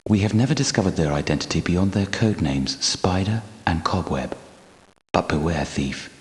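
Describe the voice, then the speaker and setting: An actor playing a grave, courteous guardian knight and temple architect in an adventure game, speaking in a formal, archaic, measured way. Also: male voice, very deep voice. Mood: guarded, solemn. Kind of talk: scripted adventure game character dialogue. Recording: echoey hall with long reverb.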